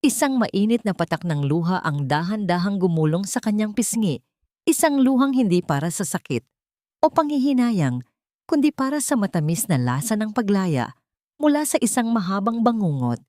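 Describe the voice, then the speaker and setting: A woman narrating a scripted drama story in a measured, dramatic way. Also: medium pitch, sing-song, warm tone, dry recording, clean studio sound.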